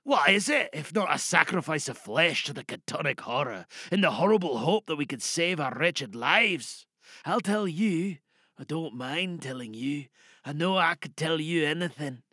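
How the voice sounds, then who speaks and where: A man playing a weary, bitter soldier in a trench, confiding in a hushed voice in an audio drama monologue. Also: on the high side, lively intonation, moderate pace, no room sound, clean studio sound. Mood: despairing.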